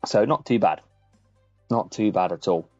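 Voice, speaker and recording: A man's voice: on the low side, normal speed, a little mellow, no echo. A man casually commentating on a live gaming stream, chatting to viewers and addressing them by name. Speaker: streamer and host of a gaming channel. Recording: muffled lo-fi sound, music behind. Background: faint background music.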